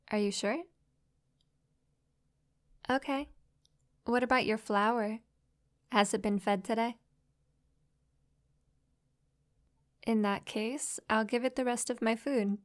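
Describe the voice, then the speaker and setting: Friendly young succubus played by a woman, smooth and highish, playful, for intimate roleplay audio. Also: fairly even intonation, slow, pauses often. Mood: gentle, grateful. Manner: soft, playful, sweetly inquisitive. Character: friendly, curious young female succubus new to human life. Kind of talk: intimate roleplay audio drama, addressed to the listener.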